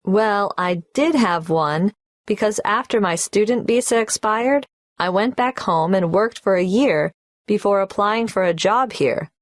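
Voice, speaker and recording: A woman's voice: medium pitch, measured pace, dry recording, clean studio sound, lightly acted. A woman playing a young applicant filling in a tax form in a scripted exam dialogue, carefully spelling out names and numbers. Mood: polite.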